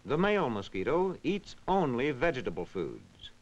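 A man reading scripted educational narration in a measured, instructional, clearly enunciated way. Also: medium pitch, big pitch swings. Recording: dull, band-limited vintage film soundtrack.

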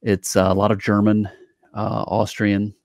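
A man's voice: lowish voice, monotone, measured pace, darkish tone, dry recording. A young adult man co-hosting an interview over a video call, easygoing and joking, with hesitant fillers into a studio microphone. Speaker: podcast co-host. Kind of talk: casual interview chat. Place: indoor home studio.

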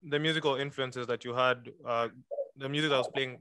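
A man's voice: medium pitch, steady tone, fairly quick, pushed and bright, clear, resonant tone, dry recording. A man moderating a webinar interview, asking questions with frequent hesitations and fillers. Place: room at a lectern.

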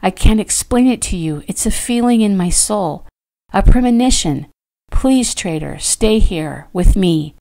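A woman voicing an anxious, devoted young woman in an audiobook, speaking in a breathy, earnest, hesitant way. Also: medium pitch, animated, a little slow, warm tone, pitched above the performer's own voice, low-quality recording. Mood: pleading.

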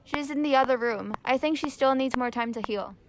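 Young teen girl as commanding female teacher, very high voice, loud noise, audio drama. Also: a little fast. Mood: matter-of-fact. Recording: very noisy amateur recording with clicks.

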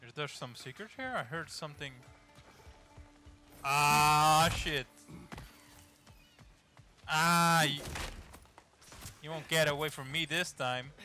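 A man's voice: a little high, takes it slowly, long pauses, bright, forceful tone. A man whose first language is not English, casually commenting while playing a game, swearing a lot and stumbling when reading aloud. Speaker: gamer playing through a game. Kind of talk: casual let's play commentary. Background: game music.

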